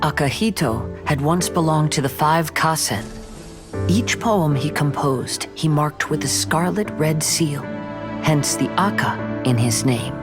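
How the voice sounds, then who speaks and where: A man playing a gentle, composed young wanderer in an anime game, telling tales in a measured, solemn way. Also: a little high, close to an everyday voice. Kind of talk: anime game voice acting, storytelling.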